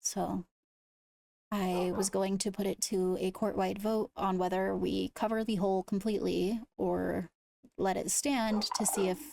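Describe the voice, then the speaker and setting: A woman speaking in improvised roleplay, leading a meeting in a measured, deliberative way. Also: medium pitch, creaky, noisy background. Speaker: role-player leading a court meeting. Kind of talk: improvised in-character roleplay talk.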